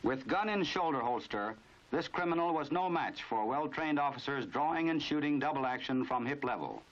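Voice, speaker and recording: A man's voice: on the high side, lively intonation, normal speed, fairly bright tone, dull audio. An American man narrating a 1950s instructional film in a formal, authoritative, instructive manner on an old film soundtrack.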